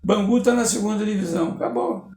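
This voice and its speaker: A man's voice: high voice, fast, a little mellow, a little hiss or hum. An older man with a Brazilian accent, a talk-show guest reminiscing casually and listing old names from memory, in an echoey room. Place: TV studio.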